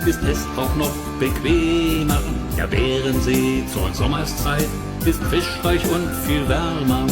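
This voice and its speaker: A man performing a storytelling children's song, with spoken asides between the verses. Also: medium pitch. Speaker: singer.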